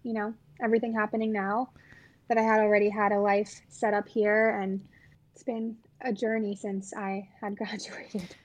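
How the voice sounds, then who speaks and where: A woman's voice: medium pitch, level tone, normal speed. A woman in her twenties from the Los Angeles area, chatting casually with a friend, trailing off with fillers. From Los Angeles area, USA. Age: 20s. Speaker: podcast guest. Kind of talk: casual chat.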